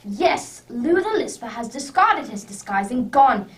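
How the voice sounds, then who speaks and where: A woman's voice: high voice, very animated, a little slow. A woman playing a commanding male detective in a radio drama, grave and declarative as he deduces. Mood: grave, intent. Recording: distant microphone.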